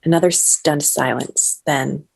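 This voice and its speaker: A woman reading the stage directions of a comedy at a table read with a lively, comic delivery. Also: deep voice, measured pace, vocal fry, husky. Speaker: narrator.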